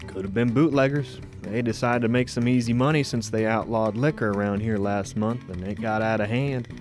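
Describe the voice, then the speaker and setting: An actor playing an authoritative, folksy county sheriff in a radio drama. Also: male voice, fairly low pitch, normal speed, faint music behind, close to an everyday voice. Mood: grave, speculating. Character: commanding county sheriff leading a posse in mining country.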